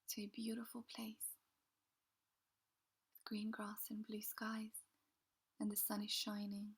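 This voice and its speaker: Woman, meditation guide; slow breathy whisper, flat and calm delivery. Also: medium pitch. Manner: soft, slow, soothing whisper.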